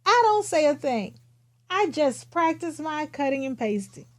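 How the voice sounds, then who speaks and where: A woman voicing a quiet, matter-of-fact young kindergarten girl who tells her own storybook tale in simple, expressive phrases. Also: high-pitched, a little slow. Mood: earnest, matter-of-fact.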